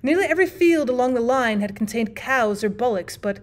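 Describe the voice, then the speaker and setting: A woman's voice: a little high, animated, a little fast. A woman reading aloud like a teacher, in scripted audiobook narration of a classic short story.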